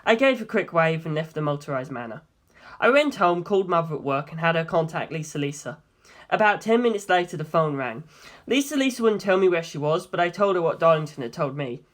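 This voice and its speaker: An audiobook narrator reads first-person fiction in a mid-pitched voice. The delivery is expressive and animated, with lively rises and falls throughout. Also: a little fast. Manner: expressive, acting out dialogue.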